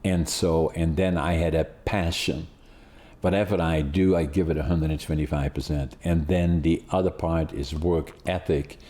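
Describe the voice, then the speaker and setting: An elderly Dutch-accented man hosts a podcast, talking loosely and circling back on himself. His voice is low and warm, with a mellow tone. He wanders through his story with plenty of fillers. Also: fairly even intonation, moderate pace. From Netherlands. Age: 80s. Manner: rambling, many restarts and fillers. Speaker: podcast host. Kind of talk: casual interview chat.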